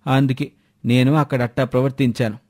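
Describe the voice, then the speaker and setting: A man's voice: medium pitch, little pitch movement, fairly quick, darkish tone, natural voice. A man playing a cautious village friend in a moral story, earnest and worried as he speaks. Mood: confiding. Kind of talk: dramatised moral story dialogue.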